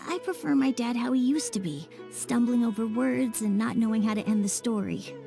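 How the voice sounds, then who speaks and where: A woman's voice: a little high, animated, normal speed, vocal fry, warm tone, faint music behind. A woman voicing a sincere, thoughtful young boy in a video game, boyish and plain-spoken in an earnest way. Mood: wistful. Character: sincere, thoughtful young boy who loves his dad. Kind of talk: video game character acting.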